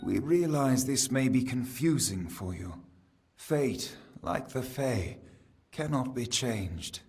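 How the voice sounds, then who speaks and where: A man's voice: mid-range voice, animated, takes it slowly, darkish tone, soft background music. An actor playing a solemn, ancient fae king in a fantasy game, speaking in a formal, portentous manner. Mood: grave counsel. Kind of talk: scripted fantasy game acting.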